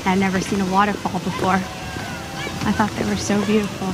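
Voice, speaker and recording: An actress playing a gentle, modest young former queen in soft, reflective film dialogue. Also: medium pitch, little pitch movement, a little fast, natural voice, low-quality recording. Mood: nostalgic. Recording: film clip with music behind. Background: music.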